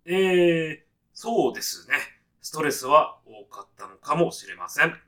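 A man acts out a straitlaced, timid salaryman in a comedy radio play. His voice is fairly high for a man and clearly bright. He speaks with careful politeness, holding back and hesitating as he goes. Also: sing-song, a little slow, heavily acted. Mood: meek, earnest. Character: timid, straitlaced salaryman newly arrived in heaven. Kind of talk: deadpan comic radio sketch acting.

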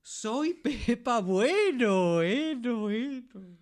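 Woman playing a slow, echoing, solemn being of light. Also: fairly high pitch, lively intonation, a little mellow. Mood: solemn, soothing. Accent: Spain. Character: solemn, soothing female being of light, echoing radio apparition. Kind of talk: amateur comic radio play acting.

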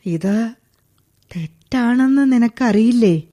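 Radio play: strict old lady, very slow drawl, soft dark tone, bossing a thief around. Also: on the high side, animated. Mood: stern. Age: older. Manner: slow, commanding and scolding. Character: stern elderly woman catching a burglar. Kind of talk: 1980s-style radio play acting.

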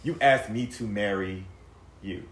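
A man's voice: fairly low pitch, unhurried, a bit bright. A man in his thirties telling a painful personal story in casual chat, rambling and emotional with lots of fillers and restarts, swearing freely. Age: 30s. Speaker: podcast guest sharing a personal story. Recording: echoey room.

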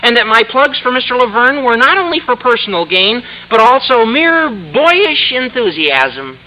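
An actor playing an eager, scheming high school boy with a squeaky adolescent voice in an old radio comedy. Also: big pitch swings, normal speed, bright, forceful tone, full character voice, low-quality recording. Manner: earnest, wheedling, self-justifying. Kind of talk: 1950s radio comedy acting. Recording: old radio broadcast recording.

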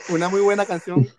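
A man co-hosting a casual music talk, playful and unhurried, often laughing. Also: sits high. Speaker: podcast co-host. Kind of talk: casual chat.

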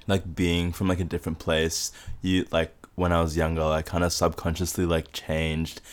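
A man with a very low, Australian-accented voice talks loosely on his podcast. His talk is full of "like" and easy laughter, and he keeps a relaxed chatting tone. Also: normal speed. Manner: says "like" constantly, laughs often. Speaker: podcast host. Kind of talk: casual podcast chat.

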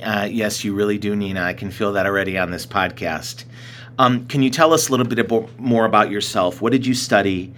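A man's voice: lowish voice, steady tone, brisk, fairly bright tone, a little hiss or hum. Guy hosting a podcast, friendly, asks easy questions. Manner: friendly, reads scripted intros. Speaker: podcast host. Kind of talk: podcast interview.

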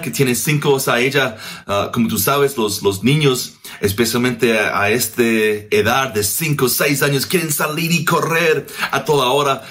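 A man from Australia in his thirties, a native English speaker, speaking slow, halting Spanish in a casual message recorded at home as a guest minister. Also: mid-range voice, a bit bright. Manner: halting, searching for words.

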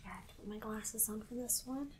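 A woman playing a calm alien examiner in an ASMR roleplay, whispering softly and slowly, with clinical reassurance. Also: a little high, very noisy, natural voice. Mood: soothing, clinical. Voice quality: breathy whisper. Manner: soft, unhurried, repetitive, clinically reassuring. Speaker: ASMR performer.

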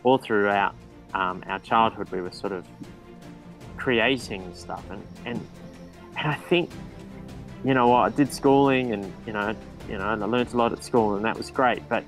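A man's voice: fairly low pitch, moderate pace, long pauses, soft background music. A man, a guest in a casual interview, talking with frequent ums and restarts. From New Zealand. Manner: hesitant, restarts with many ums.

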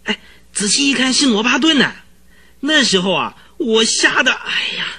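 Radio drama casting: easily spooked, chattering horse trainer, bright husky voice, dramatic storyteller. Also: male voice, extremely high pitch, sing-song, normal speed, full character voice. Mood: spooked, excited. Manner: rambling, dramatic storytelling full of interjections. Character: excitable, rambling, easily frightened male horse trainer witness.

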